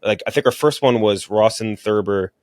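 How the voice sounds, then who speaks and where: A man's voice: fairly low pitch, moderate pace, no room sound, studio quality. A man hosting a casual movie podcast, talking in a blunt, slangy, profane way. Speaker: podcast host reviewing movies.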